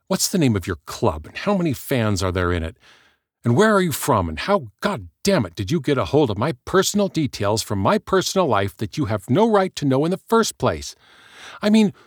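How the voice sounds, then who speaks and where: A man voicing a hostile, suspicious famous woman who talks harshly and briskly. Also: on the low side, husky, dry recording, clean studio sound, exaggerated voice.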